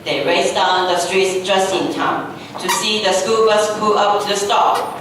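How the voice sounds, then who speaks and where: A woman's voice: medium pitch, flat delivery, measured pace. A young woman reading out scripted narration for a staged readers' theatre story. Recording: very echoey hall, distant mic, noisy.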